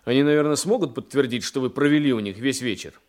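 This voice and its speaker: A man acting in a radio drama as a calm, shrewd police investigator, polite but probing and dryly ironic. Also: medium pitch, quick pace, natural voice. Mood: probing, firm.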